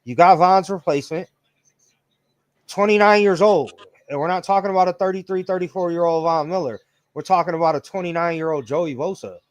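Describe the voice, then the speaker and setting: A man in his late thirties co-hosts a relaxed sports talk, slangy and liberal with swearing. His voice sits a little high and sounds dry and close to the mic. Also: brisk, no echo. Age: 30s. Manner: profane, laid-back banter with slang. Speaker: podcast co-host. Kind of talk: casual sports chat.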